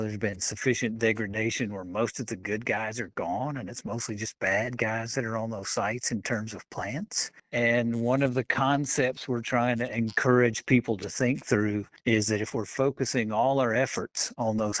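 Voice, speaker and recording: A man's voice: lowish voice, fairly bright tone, no room sound. A man speaking as an academic expert, giving explanatory answers in an interview. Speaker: university institute director, interviewee.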